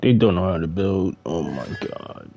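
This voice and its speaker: A man's voice: low voice, animated, normal speed, vocal fry, mellow. A young man giving casual gaming commentary in a slangy, sweary way. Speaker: game streamer.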